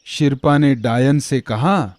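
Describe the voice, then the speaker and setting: A man's voice: medium pitch, takes it slowly, darkish tone, no room sound. A man narrating a scripted fairy tale for children.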